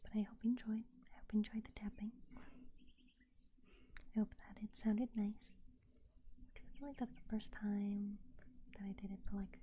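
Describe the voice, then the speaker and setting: A woman hosting an ASMR stream, whispering softly and gently in breathy, unhurried casual chat, close to a binaural microphone. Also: mid-range voice, little pitch movement, highs cut off, a little hiss or hum. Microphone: close binaural 3Dio microphone.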